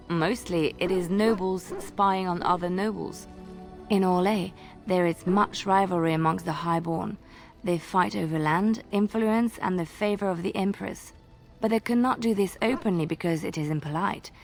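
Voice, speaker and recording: Guarded former-spy bard for a fantasy game: woman, slightly low voice, softly telling tales. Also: moderate pace, vocal fry, soft background music. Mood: guarded, explanatory. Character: wistful, guarded young woman minstrel, former spy turned devout. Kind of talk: video game dialogue acting.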